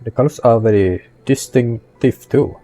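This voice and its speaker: A man doing casual video game commentary as a streamer, talking haltingly with muttered asides. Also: mid-range voice. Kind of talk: casual gameplay commentary, reading on-screen text. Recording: faint game music behind.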